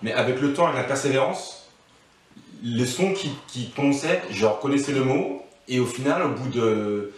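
A man's voice: mid-range voice, moderate pace. A man in his thirties giving casual advice as an interview guest, thoughtful and full of restarts. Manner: thoughtful, many restarts and fillers. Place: living room, on a couch. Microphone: far camera mic. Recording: echoey room, from a distance.

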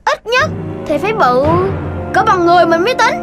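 An actor voicing an excitable, boastful child animal in a children's cartoon, exclamatory and childlike. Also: very high-pitched, a little fast. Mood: scoffing. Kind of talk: animated children's series voice acting. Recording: music behind the voice.

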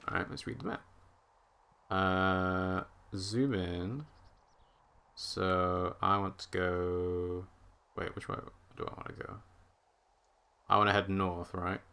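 A man commentating live on a game he plays, chatty and thinking out loud. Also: low voice, creaky. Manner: chatty, thinks out loud, hums while walking. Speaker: streamer. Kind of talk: live let's-play commentary.

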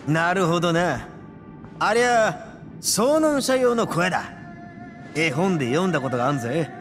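An actor playing a boastful young gang boss who talks loudly with rough slang and a gravelly voice. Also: male voice, fairly high pitch, lively intonation, normal speed, in a large hall, lightly acted. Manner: loud, swaggering, coarse slang. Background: game music.

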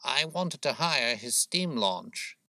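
A man voicing a shrewd, smooth-talking gentleman detective, suave and probing, in an audiobook story. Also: mid-range voice, expressive, slow, no room sound, pitched above the performer's own voice, exaggerated voice.

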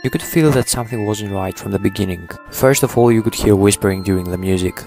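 A young man narrates scripted creepy stories in a fairly low voice. He speaks into an earphone mic held close, with clear music playing behind him. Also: quick pace, a little mellow. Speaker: short-video storyteller. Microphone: wired earphone mic held near mouth. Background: background music.

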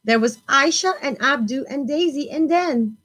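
A woman in her thirties hosting a children's storytime, reading expressively in simple, deliberate phrases. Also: high-pitched, fairly bright tone.

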